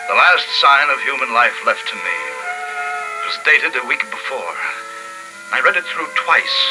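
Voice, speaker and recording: A haunted lone survivor tells his story in old radio drama style. His pitch moves a lot, halting and dramatic, with loud music behind him. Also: low-quality recording. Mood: bleak, exhausted. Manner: dramatic, halting, hushed to urgent. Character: haunted, desperate lone male survivor of a plague. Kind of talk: 1950s radio drama first-person narration. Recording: old radio broadcast, heavy music bed.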